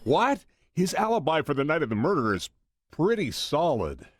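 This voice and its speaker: An uneasy, compliant police chief in an audio drama, played by a man. His mid-pitched voice is very animated and recorded dry, placating his boss while voicing doubts. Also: normal speed, husky, no room sound. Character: hesitant, compliant male police chief under the mayor's thumb.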